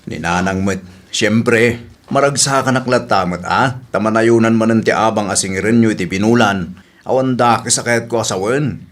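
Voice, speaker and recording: A man's voice: fairly low pitch, normal speed, natural voice. A man playing a landlady's cheeky grown son in a radio comedy drama, complaining and arguing back.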